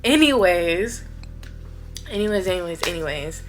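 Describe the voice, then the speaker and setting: A woman, chatting casually to camera as a vlogger, animated and repeating words for emphasis. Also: lowish voice, moderate pace. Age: 20s.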